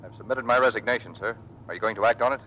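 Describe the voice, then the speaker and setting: A stubborn young American Navy ensign in a vintage radio drama. His voice is fairly low and his delivery quick, terse and firm, with proud resolve. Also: sharp tone, slight background noise, rough recording. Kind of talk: old-time American radio drama acting.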